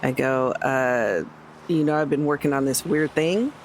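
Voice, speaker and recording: A man's voice: mid-range voice, a little slow, slight background noise. A man talking casually as a player in a tabletop roleplaying game.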